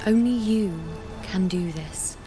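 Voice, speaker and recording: A woman's voice: mid-range voice, takes it slowly, music in the background, close to an everyday voice. An actress playing a composed, insightful woman giving counsel in a video game. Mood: solemn, earnest. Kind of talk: scripted video game voice acting.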